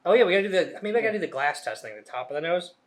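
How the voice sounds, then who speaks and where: A man's voice: high-pitched, expressive, rapid-fire. A man co-hosting a whiskey review show, joking around and swearing casually. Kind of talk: casual tasting chat.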